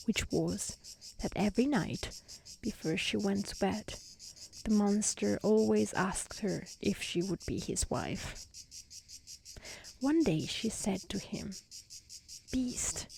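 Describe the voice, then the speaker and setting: A woman reading a fairy tale aloud as soft-spoken ASMR, calm, gentle and soothing. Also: medium pitch, vocal fry, slight background noise. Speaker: storyteller. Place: outdoors in nature.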